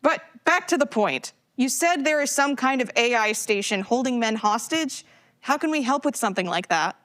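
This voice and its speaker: A woman's voice: fairly high pitch, animated, moderate pace, a bit bright. A woman playing a quirky young geek companion in a live sci-fi comedy, casual, wry and playfully theatrical. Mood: matter-of-fact. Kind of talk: live staged science-fiction audio comedy.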